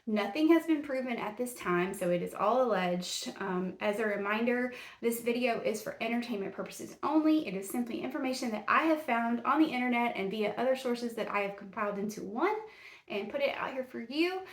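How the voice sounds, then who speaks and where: Southern woman, Tennessee accent, true crime host, folksy and rambling, slightly high voice, roomy echo. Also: expressive, brisk. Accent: Southern US, Middle Tennessee. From Tennessee, USA. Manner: folksy, rambling, self-deprecating, many fillers. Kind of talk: casual chatty podcast. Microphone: camera and mic.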